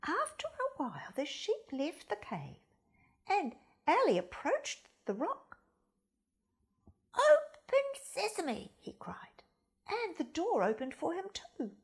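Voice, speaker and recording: A woman reading a children's story aloud in a dramatic, deliberate way, with hushed emphasis. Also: high voice, wildly swooping pitch.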